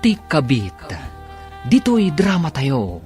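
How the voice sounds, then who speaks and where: A man's voice: a little high, wildly swooping pitch, slow pace, darkish tone. A man narrating and announcing an Ilocano radio drama serial in a scripted, dramatic style, with music behind him.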